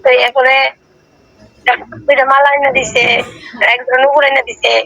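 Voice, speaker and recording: A woman's voice: high-pitched, little pitch movement, moderate pace, bright, forceful tone, no room sound, low-quality recording. A woman with an eastern Bengali dialect in casual domestic talk on a recorded call. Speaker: wife on a call with her husband. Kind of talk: casual domestic phone chat, arguing.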